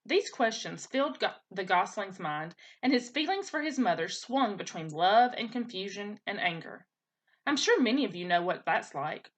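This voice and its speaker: A woman teacher reading a children's storybook aloud in an expressive way. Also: medium pitch, moderate pace.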